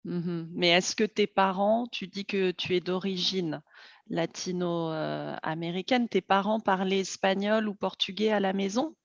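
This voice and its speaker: A woman hosting a slow, clear interview for language learners, friendly and hesitant, with light laughs. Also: medium pitch, fairly even intonation, no echo. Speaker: host. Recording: dull, dry lo-fi sound.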